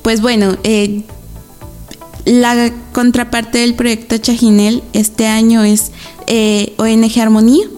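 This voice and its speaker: A woman's voice: fairly high pitch, level tone, slow, darkish tone, soft background music. A woman, a guest in a radio interview, speaking hesitantly with many fillers and easy laughs. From Guatemala. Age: 20s. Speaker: interview guest, rural social worker.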